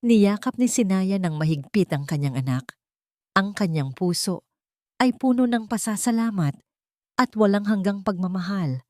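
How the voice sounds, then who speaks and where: A woman's voice: medium pitch, expressive, moderate pace, mellow, no echo, clean studio sound. A woman reading a scripted, dramatic story as its narrator.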